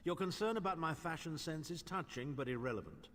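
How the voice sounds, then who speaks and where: An actor playing a haughty older imperial noble mage who talks down to others with dry sarcasm, in game dialogue. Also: male voice, a little high, expressive, fairly quick, a bit bright, long reverb tail, slight background noise. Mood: condescending. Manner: condescending, dryly sardonic.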